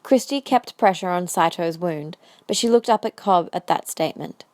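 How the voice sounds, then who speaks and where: A woman's voice: lowish voice, normal speed, darkish tone. A woman reading scripted story narration for an audio drama as the narrator.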